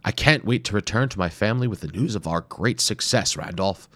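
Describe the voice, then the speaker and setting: Male narrator voicing a confident, teasing adventurer, raised above his low natural voice, in a read-aloud storybook. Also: a bit bright, no room sound. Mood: earnest. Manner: upbeat, brisk and teasing. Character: eager, confident male explorer and scientist.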